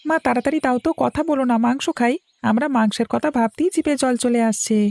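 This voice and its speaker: A woman voicing a high, creaky, whiny little boy. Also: quick pace, a little mellow, no echo. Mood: eager, impatient. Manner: pleading, impatient. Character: whiny, eager young village boy. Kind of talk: Bengali animated cartoon story dialogue.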